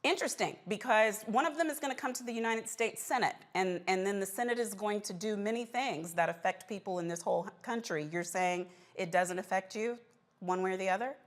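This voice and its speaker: A woman's voice: mid-range voice, brisk. A woman hosting a live panel talk show, interviewing in a conversational, probing way. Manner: conversational, probing, with follow-up questions.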